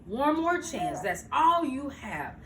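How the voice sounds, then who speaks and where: A scolding yet forgiving fairy voiced by an older woman in a bedtime story. The voice is high and very animated. It is recorded at a distance with some room echo. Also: slow, heavily acted. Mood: stern. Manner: admonishing. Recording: roomy, noisy home recording, far from mic.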